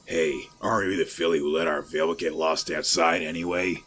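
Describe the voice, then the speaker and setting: A man's voice: rumbling low, fairly even intonation, a little fast, fairly bright tone. A man voicing a taunting, bullying pony guard in an audio drama. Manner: taunting, daring.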